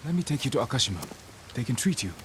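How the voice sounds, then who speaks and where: An actor playing a stern, restrained young samurai lord in a video game, speaking in a terse, serious, determined manner. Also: male voice, medium pitch, sing-song, very fast, noisy background, close to an everyday voice. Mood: concerned. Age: adult. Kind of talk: dramatic video game acting.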